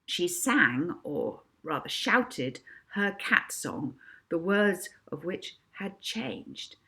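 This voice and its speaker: A woman reading a children's book aloud as an expressive narrator. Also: lowish voice, drawn-out words. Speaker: author reading own book.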